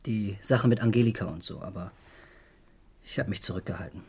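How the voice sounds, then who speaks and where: An actor playing a defiant young law student in a German radio crime drama, speaking in a blunt, slangy, halting way. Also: male voice, fairly low pitch, vocal fry, darkish tone, breathy edge, exaggerated voice. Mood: sullen, frank. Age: young adult. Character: defiant, blunt 21-year-old male law student son.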